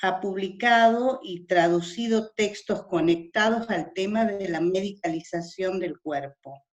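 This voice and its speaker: A woman's voice: mid-range voice, unhurried, muffled recording. A woman hosting an online talk, introducing the guest with frequent hesitations and fillers, over a video call.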